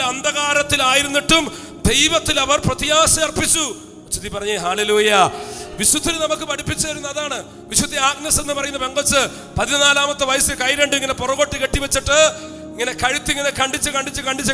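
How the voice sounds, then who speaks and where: A man preaching a fiery sermon, urging the congregation again and again to shout hallelujah. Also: very high voice. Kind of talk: fiery sermon with call-and-response. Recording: long hall reverb with faint music.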